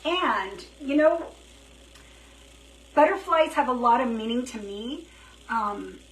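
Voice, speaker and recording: A woman chatting casually on a live craft stream, thinking out loud as she works, her throat dry and her voice feeling worn. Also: fairly high pitch. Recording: roomy, slightly distant, faint hiss.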